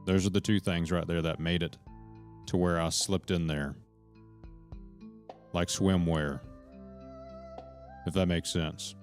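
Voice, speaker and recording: A deep, low-voiced man talks loosely to his stream chat, hopping between thoughts and cursing as he goes. Faint guitar music plays behind him. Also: steady tone, takes it slowly, long pauses. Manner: rambling, profane, jumps between points; talks to chat members directly. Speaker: live streamer. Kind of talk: rambling live-stream chat to viewers.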